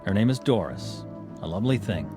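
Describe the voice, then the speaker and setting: A man's voice: on the low side, expressive, fairly quick, music in the background, close to an everyday voice. An actor playing a proud, wryly bitter clubman in an audio drama, speaking formally and theatrically. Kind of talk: modern audio drama acting.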